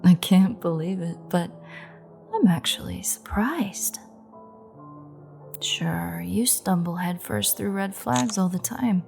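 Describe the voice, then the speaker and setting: A woman playing a menacing, amused female vampire in an audio roleplay, taunting and sultry with breathy, half-whispered asides. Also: on the low side, slow, a little mellow, soft background music. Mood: mocking. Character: menacing, amused female vampire gloating over a captured hunter.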